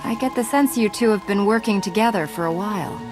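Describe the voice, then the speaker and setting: Calm, composed woman warrior played by an actress. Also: medium pitch, brisk, music in the background, lightly acted. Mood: calm, watchful. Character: calm, selfless heroic female Jedi knight. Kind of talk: scripted video game character acting.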